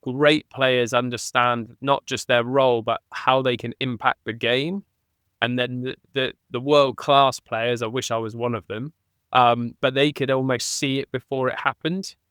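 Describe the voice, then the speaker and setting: A man who played rugby, a podcast guest reflecting conversationally and thinking aloud with restarts, getting animated on key points. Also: medium pitch, unhurried, smooth, no room sound, clean studio sound. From England.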